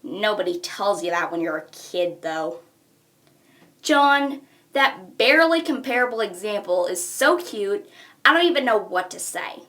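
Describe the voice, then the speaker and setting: Woman as bright, brash troll villainess. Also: mid-range voice, lively intonation, measured pace. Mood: bored, wistful. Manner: theatrical, exasperated. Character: brash, self-absorbed, dramatic young troll villainess. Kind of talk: fan character voice acting reel.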